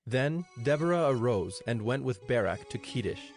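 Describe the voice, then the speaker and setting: A man's voice: medium pitch, animated, a little mellow. Solemn male narrator over prominent music. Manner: solemn, measured storytelling. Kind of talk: scripted Bible narration.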